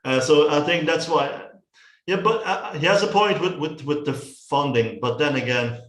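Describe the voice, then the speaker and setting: A man's voice: a little high, moderate pace, fairly bright tone, echoey room. A Dutch man with a Dutch accent, chatting casually about films and stumbling over words, repeating himself as he restarts his sentences. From Netherlands. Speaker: co-host of a movie review show. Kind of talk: casual film chat.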